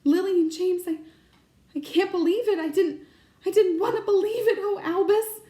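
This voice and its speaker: A woman's voice: very high-pitched, moderate pace, dark tone, pitched above the performer's own voice. A woman voicing a stern, anxious older witch professor from a storybook, exasperated and emphatic, faltering when upset, in an echoey room. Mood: shocked, grieving.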